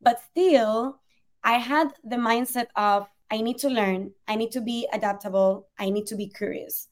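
A woman's voice: fairly high pitch, a little slow. A young woman from Puerto Rico, a native Spanish speaker with a Puerto Rican accent, earnestly telling her story as an interview guest. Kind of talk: conversational storytelling.

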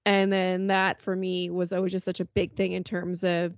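A woman's voice: lowish voice, normal speed, dry recording. Chatty woman host, dull lo-fi sound. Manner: laughs often, relaxed and chatty. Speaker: podcast host. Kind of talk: casual podcast chat.

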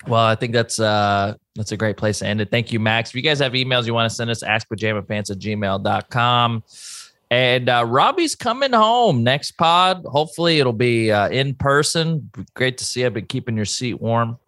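A man's voice: medium pitch, normal speed, fairly bright tone, no room sound. Easygoing man hosting a podcast, dry sound. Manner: easygoing, reads listener questions, plugs the show. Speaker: podcast host. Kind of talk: casual chat and show outro.

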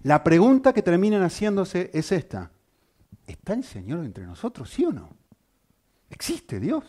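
A man preaching a sermon, asking the congregation rhetorical questions and speaking with lively emphasis. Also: high voice, a little slow.

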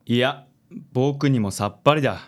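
ラジオドラマで、自信に満ちた紳士的な気取った色男を演じる男性。. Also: male voice, medium pitch, normal speed, close to an everyday voice. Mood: puzzled but composed. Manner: smooth, affected gallantry. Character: suave, self-assured handsome gentleman charmer. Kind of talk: radio drama acting.

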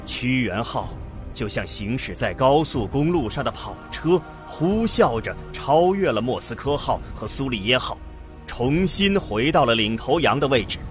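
A male narrator tells a science fiction radio drama with vivid imagery. His pitch is lively, and clear music plays under his voice. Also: normal speed. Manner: vivid, descriptive. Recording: music behind.

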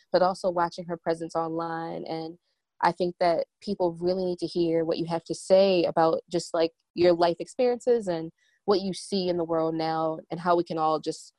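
A woman's voice: on the low side, mellow, dry recording. A woman hosting a conversational podcast interview, speaking in an effusive, rambling way. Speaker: podcast host.

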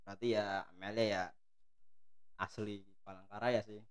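Young male co-host from Cilacap, easygoing and teasing, smooth clear voice, dry sound. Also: fairly low pitch, flat delivery, normal speed, no echo. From Cilacap, Indonesia. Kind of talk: casual podcast chat.

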